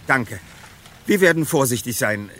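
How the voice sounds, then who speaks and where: Composed young detective, medium pitch. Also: male voice, lively intonation, unhurried, fairly bright tone, slight background noise. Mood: composed, cautious. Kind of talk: German radio play acting.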